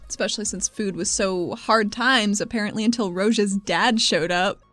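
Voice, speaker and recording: A woman's voice: medium pitch, normal speed, a bit bright, faint music behind. A woman playing in a tabletop role-playing game, chatting casually as she describes what her character does.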